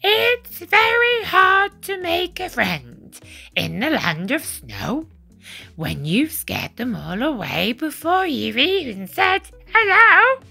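A woman voicing a shy, lonely, gentle male yeti in a storybook reading. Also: high-pitched, wildly swooping pitch, slow, vocal fry, soft background music, pitched above the performer's own voice, heavily acted. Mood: pleading.

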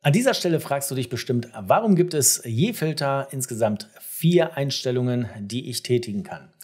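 A middle-aged man presenting a step-by-step software tutorial, explaining things directly to the viewer. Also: mid-range voice, animated, normal speed, slightly rough.

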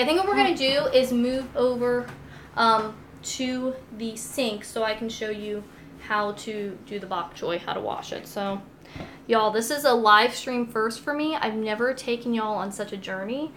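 A young American woman with a fairly high voice talks in a chatty, giggly, informal way. She sounds like a live-stream host cooking at home and reading out viewer comments. There is faint noise around her voice in the kitchen. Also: moderate pace, fairly bright tone. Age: young adult. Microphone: phone or tablet, a short distance away.

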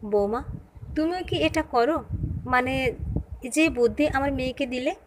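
A woman's voice: high voice, unhurried, slight background noise. A woman voicing an older mother-in-law in an audio story, wary, uneasy and hesitant. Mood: anxious, soft. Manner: soft-spoken, hesitant.

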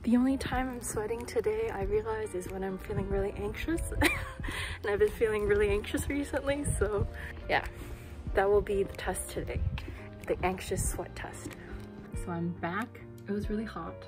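A woman's voice: medium pitch, normal speed, music in the background, rough recording. Candid young woman vlogging, swearing. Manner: candid, swears freely, drops to whispers. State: losing her voice. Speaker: beauty vlogger. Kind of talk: casual vlog chat.

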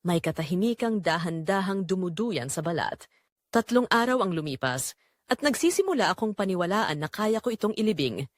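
A woman's voice: fairly low pitch, animated, normal speed, no echo, studio quality. A woman with a Filipino accent reading a first-person romance drama as its narrator, in a scripted dramatic storytelling style.

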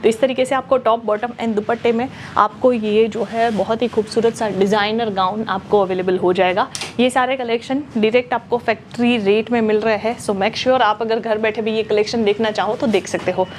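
A young woman presenting clothes in a shop showroom, talking in brisk promotional patter with lots of fillers, on a lapel mic. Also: a little high, steady tone, a little mellow, a little hiss or hum. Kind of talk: product showcase sales pitch.

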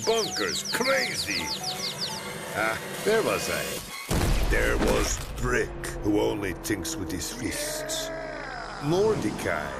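Video game storyteller, gravelly, sweeping intonation, deliberate, dramatic, wry, loud music behind. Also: male voice, lowish voice, exaggerated voice.

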